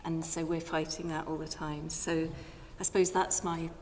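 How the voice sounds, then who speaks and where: Quiet-voiced woman campaigner in a church. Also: low-pitched, level tone, normal speed. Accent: Northern English. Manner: soft-spoken, hesitant with many ums, earnest. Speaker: Friends of the Earth campaigner on a panel. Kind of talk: live panel talk in a church. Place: large parish church. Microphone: radio mic. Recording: long church reverb, faint noise.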